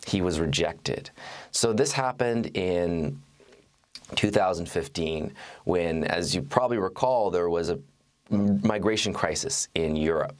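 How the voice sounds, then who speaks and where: A man in his thirties speaking as an interview guest, recounting his reporting in a measured way with halting restarts. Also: low-pitched. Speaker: guest, journalist and author. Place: TV studio. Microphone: lapel mic.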